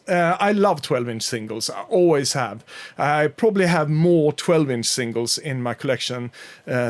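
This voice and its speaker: Chatty man with a higher voice. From Sweden. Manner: chatty and rambling, frequent ums and uhs. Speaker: vinyl collector YouTuber. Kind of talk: casual solo vlog to camera.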